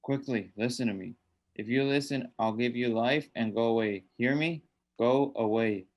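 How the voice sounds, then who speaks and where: A man's voice: mid-range voice, moderate pace, natural voice. An actor playing a sinister undead old man in a radio drama, coaxing and menacing with insistent, repeated commands. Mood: urgent, insistent.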